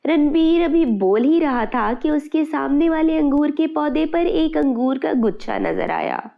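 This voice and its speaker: A woman's voice: sits high, lively intonation, unhurried, creaky, phone-quality sound. A woman narrating a scripted fairy tale for children. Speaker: storyteller.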